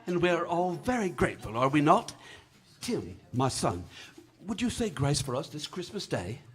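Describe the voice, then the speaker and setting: Man acting kindly poor family dad, raspy husky, super animated, stage radio drama. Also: on the high side, moderate pace. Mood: tender. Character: kindly, humble, affectionate father of a poor family.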